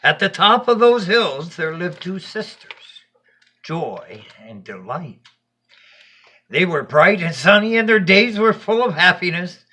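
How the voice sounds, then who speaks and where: A man voicing Death as a gentle, wise, solemn storyteller in a bedtime tale. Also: sits high, big pitch swings, slow, pitched above the performer's own voice, full character voice. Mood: storytelling.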